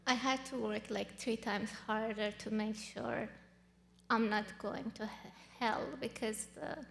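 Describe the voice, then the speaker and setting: A woman in her thirties from Iran, whose first language is Farsi, telling her own story in an interview, earnest and halting with many fillers. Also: a little high, level tone, gravelly, big-room sound. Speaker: guest. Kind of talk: personal testimony interview.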